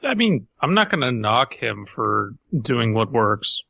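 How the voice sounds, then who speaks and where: A man's voice: mid-range voice, sing-song, a little slow, gravelly, bright, forceful tone, no room sound, dull audio. A man co-hosting a comedy podcast, with blunt, crude joking between bits of reading aloud. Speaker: podcast co-host.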